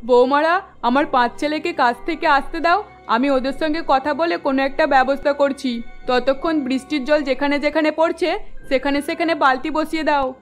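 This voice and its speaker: A woman's voice: high voice, fairly even intonation, moderate pace, lightly acted. A woman voicing an anxious, caring elderly village mother-in-law in a cartoon, with a plaintive, dramatic delivery. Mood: reassuring, instructing. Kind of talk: cartoon story dialogue. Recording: music under the voice.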